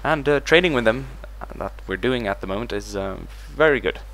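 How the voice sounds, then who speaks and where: A man's voice: mid-range voice, expressive, a little fast, fairly bright tone, slight background noise, poor audio. A man hosting a let's play, talking through his strategy game moves as he thinks them over. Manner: thinks aloud while managing a strategy game. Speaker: gaming channel host.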